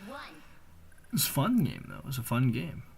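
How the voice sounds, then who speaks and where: A man's voice: medium pitch, wildly swooping pitch, a little slow, gravelly, crowd noise. A man giving casual, slangy, conversational voice-over commentary on video gameplay, as a gaming video creator.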